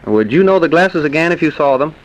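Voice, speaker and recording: An actor playing a measured, courteous small-town coroner in an old-time radio drama, questioning politely and deliberately. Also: male voice, on the high side, animated, natural voice. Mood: probing. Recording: noisy vintage radio recording.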